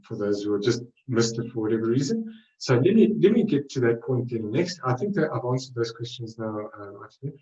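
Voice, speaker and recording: A man's voice: lowish voice, darkish tone, reverberant, far from the mic, lo-fi sound. A man with a South African accent presenting a trading webinar, rambling loosely and correcting himself as he goes. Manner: loose, rambling delivery with frequent self-corrections.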